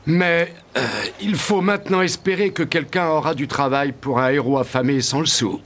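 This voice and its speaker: An actor playing a hungry young soldier, wary but good-natured, in an animated fairy tale, with expressive exclamations. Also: male voice, a little high, moderate pace. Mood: wary, curious.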